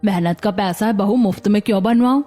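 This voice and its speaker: A woman's voice: mid-range voice, fairly quick, dark tone, soft background music, lightly acted. Woman playing a friendly, insistent neighbour lady. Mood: appreciative. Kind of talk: Hindi cartoon story acting.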